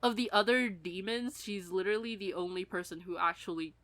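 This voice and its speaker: Bright-voiced woman, chatty and giggly. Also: mid-range voice, a little fast. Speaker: podcast co-host. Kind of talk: casual chat about an audio drama.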